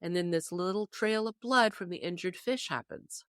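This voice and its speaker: A woman podcast panelist casually discussing a folk tale, telling it in an expressive storytelling way. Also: lowish voice, moderate pace, clean tone, no echo, studio quality.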